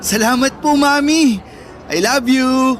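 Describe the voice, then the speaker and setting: A man's voice: extremely high pitch, slow pace, fairly bright tone, exaggerated voice. A man playing a polite, affectionate young Filipino son in a radio drama, with a Filipino accent and a coaxing manner. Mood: eager, affectionate. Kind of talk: Tagalog radio drama acting.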